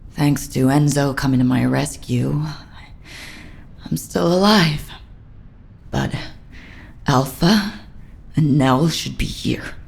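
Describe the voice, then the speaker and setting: A weary woman captain, her low voice heavy with fry and slow to come. She sounds bitter and self-reproaching, a soldier haunted by survival in a dramatic game cutscene. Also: a little mellow, exaggerated voice. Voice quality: low, gravelly. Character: weary, bitter female military captain, guilt-ridden survivor.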